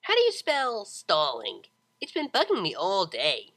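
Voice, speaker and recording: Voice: mid-range voice, wildly swooping pitch, measured pace, a bit bright, no echo, pitched above the performer's own voice, full character voice. A performer voicing a cheerful, relentlessly pestering young female pegasus pony in an audiobook. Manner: chirpy, innocently stalling questioner. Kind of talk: audiobook character voice.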